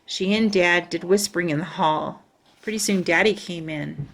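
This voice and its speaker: A woman reading a children's storybook aloud in an expressive storytelling style. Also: fairly low pitch, normal speed.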